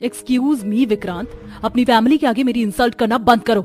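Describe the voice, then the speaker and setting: Haughty modern young wife, high-voiced woman acting. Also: normal speed, a little mellow, faint music behind, close to an everyday voice. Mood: indignant. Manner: sassy, peppers Hindi with English phrases. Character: haughty modern city daughter-in-law, young, snobbish.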